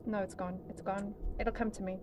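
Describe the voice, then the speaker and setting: A woman's voice: medium pitch, level tone, a little fast, darkish tone, slight background noise. A woman chatting casually as a player around a tabletop game. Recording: room with chatter behind.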